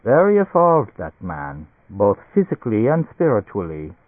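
A man voicing an excitable, fussily reassuring German doctor in an audiobook story, effusive, with broken English sprinkled with German words. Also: lowish voice, normal speed, no echo. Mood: eager, gushing. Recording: tinny band-limited radio-like sound.

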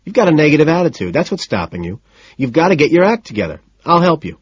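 A man's voice: fairly high pitch, big pitch swings, fast, breathy edge, no room sound, muffled recording, voice pushed up. A man voicing a smug, callous wealthy man with a volatile temper in an audiobook, condescending and emphatic. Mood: scolding. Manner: condescending, emphatic, swinging from soothing to scolding.

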